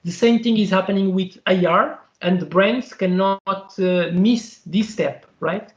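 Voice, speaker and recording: A man's voice: high voice, unhurried, reverberant. A man on a panel, speaking with frequent hesitations and tagging his points with "right?". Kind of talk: online panel discussion.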